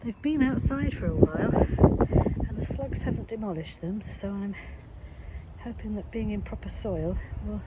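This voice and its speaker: Snuffly older woman in her garden. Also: medium pitch. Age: 60s. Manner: chatty, unhurried, self-deprecating. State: snuffly from hay fever. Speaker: gardening vlogger. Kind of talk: casual walk-and-talk commentary. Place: sloping vegetable plot outdoors. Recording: loud outdoor background noise.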